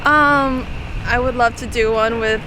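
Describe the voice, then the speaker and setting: A woman's voice: a little high, fairly even intonation, unhurried. Chatty young woman on a noisy street. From Los Angeles, California. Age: 20s. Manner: chatty, filler-heavy, giggly. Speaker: music producer guest. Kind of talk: casual street interview. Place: outdoors on a city street. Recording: loud street traffic noise. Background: passing traffic.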